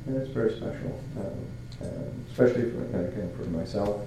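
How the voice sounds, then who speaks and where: Older monk from Canada, low voice, halting delivery full of fillers, echoey noisy room. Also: male voice. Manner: halting, many fillers and restarts, chuckles. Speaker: Buddhist monk. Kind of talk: dharma talk.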